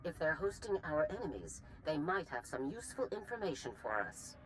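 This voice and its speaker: A woman's voice: sing-song, brisk, a little hiss or hum, low-quality recording. An actress voicing a stern older female general in a video game, with a clipped, authoritative, formal manner. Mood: concerned, brisk. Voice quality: low-pitched.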